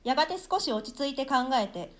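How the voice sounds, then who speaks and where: A woman narrating the stage directions of a play in a plain, measured reading. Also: high voice, expressive. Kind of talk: scripted narration of a play text.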